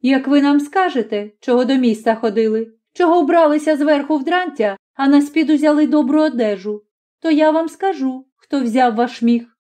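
A bold young peasant son, sharp-tongued and mocking, pressing his mother with pointed questions in a storybook reading, as a woman reader puts him on. The voice sits high and mellow, with a slow, deliberate edge. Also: natural voice. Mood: reproachful.

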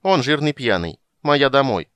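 A man voicing a small grumpy gully dwarf girl who squeaks in broken grammar, in an audiobook. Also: lively intonation, moderate pace, a bit bright, smooth, no room sound, lightly acted. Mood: petulant.

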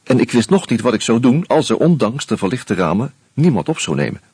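An actor playing an anxious out-of-work husband who narrates in the first person, wry and hesitant, in a radio play. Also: male voice, medium pitch, very animated, a little fast, background noise, natural voice.

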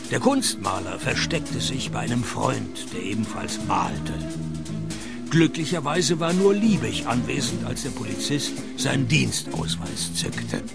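An actor playing a sardonic private detective, dry and laconic, narrating his case in a gravelly voice in a radio play. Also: mid-range voice, full character voice.

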